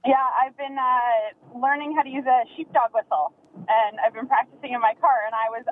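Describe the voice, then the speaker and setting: High-voiced woman on a tinny phone line. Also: steady tone, brisk, slight background noise. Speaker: caller.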